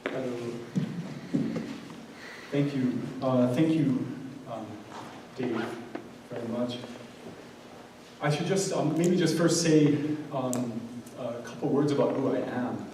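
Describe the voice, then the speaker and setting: Halting American man, echoey distant speech. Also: medium pitch. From United States. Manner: halting, many ums and restarts. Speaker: guest speaker, scholar and curator. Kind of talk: unscripted speech at an event. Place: large event room. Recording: echoey room, mic far off, audible noise.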